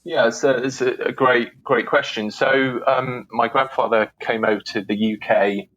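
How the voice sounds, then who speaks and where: Flat-voiced hesitant British man. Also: medium pitch, moderate pace, dull audio. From United Kingdom. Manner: hesitant, many restarts and fillers. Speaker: guest, historian. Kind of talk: interview answers. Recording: faint background noise.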